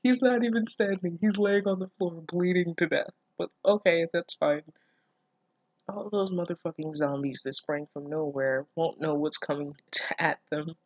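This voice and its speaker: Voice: medium pitch, steady tone, moderate pace, dry recording, dull audio. A person reading fan fiction aloud casually, breaking off with mocking, incredulous, sweary commentary. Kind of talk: casual reaction reading with sarcastic asides.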